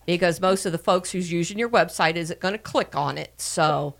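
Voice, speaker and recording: A woman's voice: on the low side, moderate pace, gravelly. A middle-aged woman hosting a casual radio interview, chatty and full of repeated words and fillers. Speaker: host.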